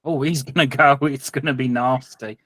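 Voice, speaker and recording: A man voicing a gruff, mocking town constable who swears crudely and is eager for a hanging. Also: medium pitch, fairly even intonation, moderate pace, fairly bright tone, dry recording, close to an everyday voice. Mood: scornful.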